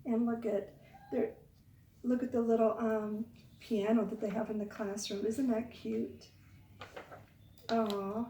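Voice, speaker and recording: A woman reading a picture book aloud to young children, expressive, pausing to ask the listeners questions. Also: fairly high pitch, slight background noise. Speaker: children's storytime reader. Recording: echoey room, far from mic.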